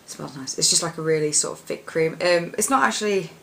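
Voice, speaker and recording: A young woman with a husky voice, chatting casually about her favourite products in a rambling, filler-heavy way. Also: sits low, brisk, a little hiss or hum. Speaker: beauty vlogger. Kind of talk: casual product chat to camera.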